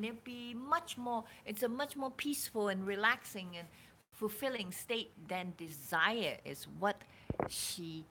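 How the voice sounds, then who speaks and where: A woman reading spiritual teachings aloud in a deliberate, emphatic way, sometimes dropping toward a breathy near-whisper. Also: mid-range voice, bright, forceful tone, a little hiss or hum. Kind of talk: slow dramatic reading of spiritual dialogue with casual asides.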